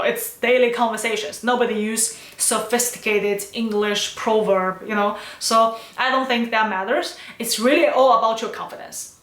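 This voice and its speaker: A woman speaks English with a Chinese accent, her voice sitting a little higher than most. She talks like a friendly vlogger giving advice and keeps checking in with "right?" and "you know". The room around her gives the voice a noticeable echo. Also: a bit bright. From China. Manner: chatty, lots of "you know" and "right?" tags. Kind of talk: casual advice vlog.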